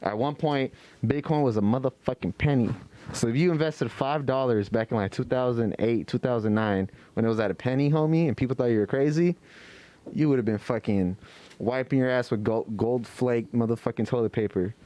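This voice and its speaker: A man's voice: medium pitch, fairly quick, dark tone, no room sound. A man co-hosting a casual podcast, talking loosely and profanely with lots of restarts. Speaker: podcast co-host.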